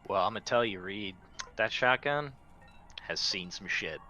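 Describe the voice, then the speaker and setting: Man, deep pitch, very forceful bright tone, casual tabletop player chat. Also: animated, measured pace, lo-fi sound, soft background music.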